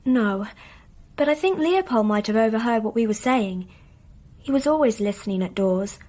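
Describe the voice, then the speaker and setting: A woman's voice: a little high, expressive, normal speed, dark tone. An actress playing a dreamy, trusting young girl in a radio play, speaking with earnest simplicity. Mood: earnest, recounting.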